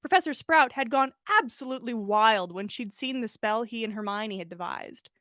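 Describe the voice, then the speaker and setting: A woman reading fan fiction aloud as expressive story narration, animated, with the odd stumble and self-correction. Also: fairly high pitch, normal speed, no room sound, studio quality. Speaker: podcast host reading listener fan fiction.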